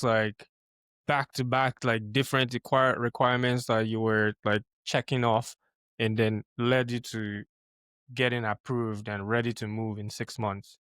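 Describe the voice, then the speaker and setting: A man hosting an interview podcast who reacts with lively surprise and asks long, wandering questions. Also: mid-range voice, a little slow, clear, resonant tone, no room sound. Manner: animated, exclaims in surprise, rambling questions. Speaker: podcast host.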